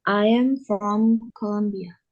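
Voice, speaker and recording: A woman student reading English sentences aloud slowly and hesitantly in an online class. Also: medium pitch, fairly even intonation. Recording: dull lo-fi call audio from a distance.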